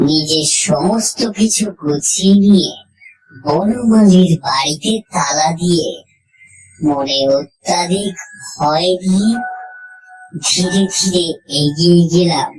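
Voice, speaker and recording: A woman narrating a scripted horror story in the first person, suspenseful and dramatic, with deliberate pauses. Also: on the low side, darkish tone, poor audio. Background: music.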